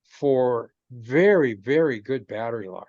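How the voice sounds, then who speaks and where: A man's voice: medium pitch, lively intonation, unhurried, a little mellow, no room sound. Guy on a video call explaining stuff patiently, lots of ums, kinda dull dry audio. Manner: patient, explanatory, many fillers and restarts. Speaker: guest explaining chip technology. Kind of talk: casual chat on a video call. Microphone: video call microphone.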